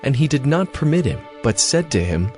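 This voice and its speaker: A man's voice: medium pitch, very animated, a little fast, a little mellow, soft background music. A man reading scripted narration for a dramatized audio Bible.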